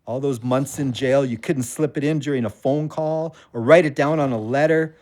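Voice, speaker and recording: A man's voice: mid-range voice, normal speed, a little mellow, close to an everyday voice. An actor playing a troubled, defensive young man just out of jail, in a naturalistic audio drama. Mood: sarcastic, accusing. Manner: bitter, sarcastic, coarse.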